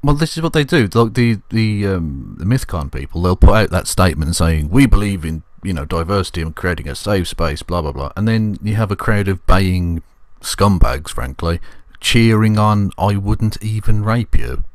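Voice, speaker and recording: A man co-hosting a casual panel discussion, blunt and scornful, with halting phrasing. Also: low-pitched, animated, no echo. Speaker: co-host.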